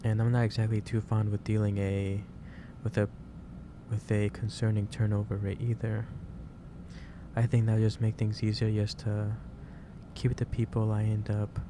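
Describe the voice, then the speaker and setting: A man playing a gentle, caring office boss in an ASMR roleplay, speaking in a hesitant, reassuring way. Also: lowish voice, natural voice. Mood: earnest, reassuring. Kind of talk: ASMR roleplay, soft improvised monologue. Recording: clear background noise, ambience.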